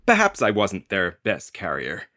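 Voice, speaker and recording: A man's voice: deep voice, huge pitch swings, measured pace, pushed and bright, heavily acted. An actor playing a hapless, anxious delivery carrier who tells his creepy tale with wry, theatrical drama in an audio play. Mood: wry, weary. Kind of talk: dramatic first-person narration in an audio play.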